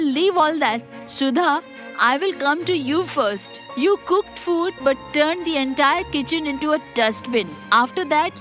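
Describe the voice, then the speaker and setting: A woman playing a commanding mother-in-law in a moral-story cartoon, speaking with deliberate authority over music. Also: sits high, a bit bright. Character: commanding mother-in-law testing her daughters-in-law. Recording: music behind the voice.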